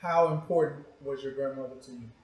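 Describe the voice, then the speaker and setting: A man's voice: medium pitch, a little fast. A man hosting a conversational interview, speaking in a probing, direct way. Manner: probing, short direct questions and prompts. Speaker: show host interviewing a guest. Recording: echoey room.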